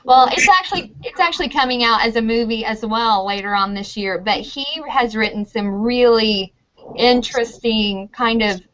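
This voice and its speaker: A woman's voice: on the high side, moderate pace, fairly bright tone. Chatty middle-aged woman over dull call. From United States. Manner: friendly, chatty, thinks aloud with ums. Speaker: university professor of teaching methods. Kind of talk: casual interview answers. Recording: dull lo-fi audio with clear noise.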